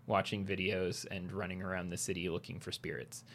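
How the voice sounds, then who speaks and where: A man's voice: low-pitched, level tone, fairly quick, creaky, fairly bright tone, a little hiss or hum. A man co-hosting a casual podcast about video games, talking with frequent restarts and fillers and laughing easily. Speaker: podcast co-host.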